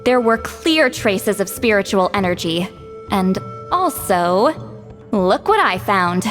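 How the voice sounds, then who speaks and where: A woman voicing a brisk, no-nonsense granny of a tribe, sharp but caring, in a video game. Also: mid-range voice, expressive. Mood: matter-of-fact. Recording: soft game music behind the voice.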